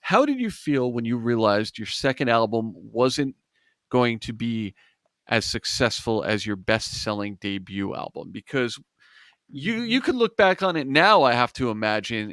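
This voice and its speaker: A man's voice: mid-range voice, a bit bright, dry recording, studio quality. A man hosting an interview, friendly, reading out listener questions. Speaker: interview host. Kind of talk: casual interview.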